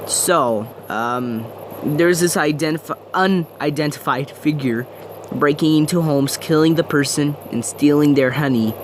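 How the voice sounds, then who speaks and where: A man playing a local TV news anchor, giving a halting, unsure breaking news report. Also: a little high, a little hiss or hum, lightly acted. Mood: hesitant, earnest.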